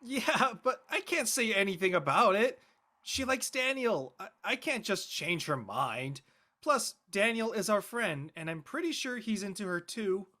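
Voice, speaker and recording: Bright, lively teenage jock played by a man. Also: high-pitched, a little slow, full character voice. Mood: glum, casual. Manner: slangy, exclamatory. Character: excitable, loyal teenage jock, high school senior boy. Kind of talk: naturalistic dialogue in a live-streamed audio play.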